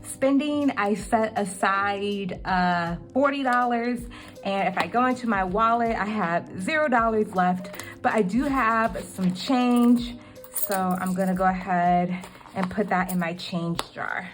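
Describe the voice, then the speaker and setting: A woman's voice: medium pitch, unhurried, soft background music. Chatty young woman with a cracking voice. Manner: chatty, with many ums. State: slightly cracking voice. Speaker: budgeting vlogger. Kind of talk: casual budgeting walkthrough.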